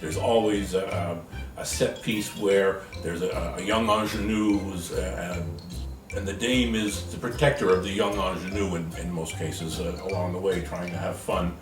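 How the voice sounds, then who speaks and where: A man's voice: low voice, fairly even intonation, normal speed. A man being interviewed as a stage performer, explaining things conversationally in a hesitant, jokey way. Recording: roomy echo, distant mic, music behind.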